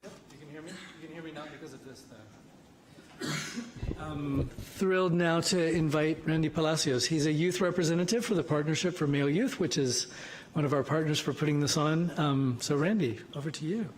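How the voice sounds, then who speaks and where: A man's voice: a little high, brisk, pauses often. A man moderating a panel, introducing the next speaker with brief, informal handoffs. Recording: distant mic with audience noise.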